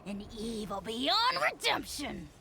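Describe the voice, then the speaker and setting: A woman's voice: a little high, sing-song, slow pace, sharp tone, a little hiss or hum, heavily acted. A woman voicing a small, arrogant dragon spirit who talks pompously and brags about itself. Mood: haughty. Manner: pompous, bragging, contemptuous. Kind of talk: video game voice acting.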